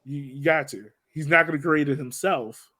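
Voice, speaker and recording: A man's voice: a little high, normal speed, bright, forceful tone, dry recording. A man hosting a casual sports podcast, talking through a game in conversational analysis. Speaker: podcast host moderating a soccer discussion.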